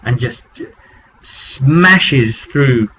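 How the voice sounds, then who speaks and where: A man's voice: mid-range voice, lively intonation, extremely slow. A man talking as a game master, recapping a tabletop roleplay session in a rambling, conversational storytelling way on a webcam microphone. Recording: dull webcam audio with background noise.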